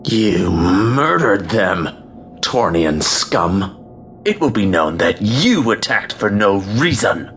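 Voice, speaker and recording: A man's voice: on the low side, very animated, fairly bright tone, heavily acted. A man voicing a defiant, hissing, hairy male alien slaver captain in an audiobook. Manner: slow, hissing.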